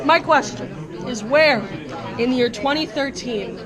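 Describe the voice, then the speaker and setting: A woman's voice: sits high, a little slow, crowd noise. A woman hosting, ranting in a casual, comedic way, swearing and mock-lecturing in exasperation. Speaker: host.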